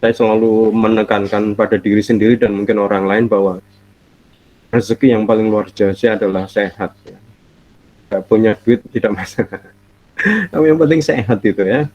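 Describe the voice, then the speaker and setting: A man's voice: on the low side, steady tone, darkish tone, no echo. A middle-aged man gives a friendly, chatty online university lecture from home, his voice nasal and stuffy from a cold. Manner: friendly, chatty, laughs often, drawn-out fillers. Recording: dull lo-fi online call audio.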